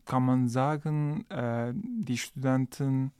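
A man's voice: medium pitch, slow, mellow, clean tone, no echo, clean studio sound. A man, a student guest in a podcast interview, speaking German hesitantly with many filler sounds. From Turkey. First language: Turkish. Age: 20s.

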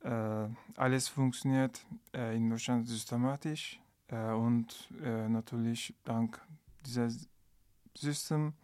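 A man's voice: mid-range voice, steady tone, slow, darkish tone, dry recording, studio quality. A man, a student guest in a podcast interview, speaking German hesitantly with many filler sounds. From Turkey. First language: Turkish. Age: 20s.

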